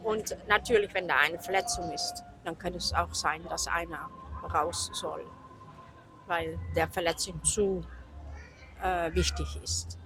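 Dutch woman with halting German. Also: lowish voice, steady tone, over music, rough recording. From Netherlands. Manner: halting, searching for German words. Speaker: equipment-check judge. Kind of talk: interview answers. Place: outdoors at a horse show.

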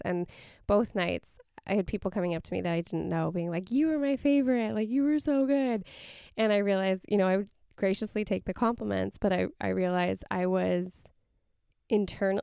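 A woman's voice: medium pitch, creaky, darkish tone, smooth, no echo, studio quality. Giggly woman podcast co-host. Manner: giggly, reflective, with many filler likes. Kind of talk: casual chat.

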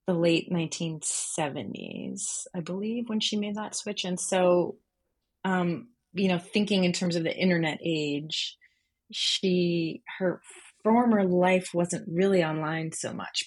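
Lower-voiced woman in conversational interview. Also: husky. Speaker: guest, freelance journalist.

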